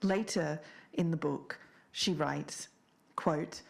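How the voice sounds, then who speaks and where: A woman playing a podcast investigator, speaking in a measured, sceptical and deliberate way as she narrates and discusses. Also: deep voice, creaky, full character voice. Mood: measured, explanatory. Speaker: podcast co-host.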